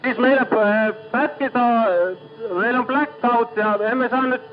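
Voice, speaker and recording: A man serving as a ship's officer making a halting, hesitant distress call over a lo-fi marine radio. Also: very high voice, crowd noise. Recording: dull lo-fi marine radio recording.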